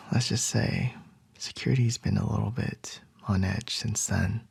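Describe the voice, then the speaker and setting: A man playing a calm, charming male CEO boss in an ASMR roleplay, soft and reassuring with a light teasing edge. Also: on the low side, a little slow, gravelly, breathy edge, dry recording, heavily acted. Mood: soothing.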